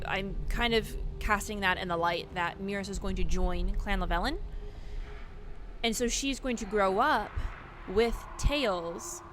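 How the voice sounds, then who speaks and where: A woman's voice: mid-range voice, noisy background. A woman giving casual, chatty game commentary, thinking aloud in an informal way as the host of her video. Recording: loud music behind voice.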